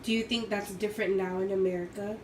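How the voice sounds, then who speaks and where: A woman's voice: mid-range voice, steady tone, fast, a little mellow. Brief: young woman, interviewer, short plain questions, echoey room with faint noise. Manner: asks short direct questions. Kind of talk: oral history interview.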